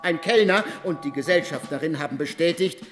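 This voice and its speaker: Higher-pitched male radio narrator, formal. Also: brisk, over music. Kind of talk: scripted documentary narration in a radio play.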